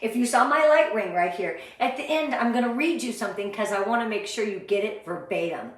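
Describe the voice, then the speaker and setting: A middle-aged American woman speaking with gushing, high energy, greeting viewers on a live online motivational training. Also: lively intonation, brisk. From Southern California, USA. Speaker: sales director leading a motivational training. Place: indoor room, live stream with ring light. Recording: very echoey, roomy sound.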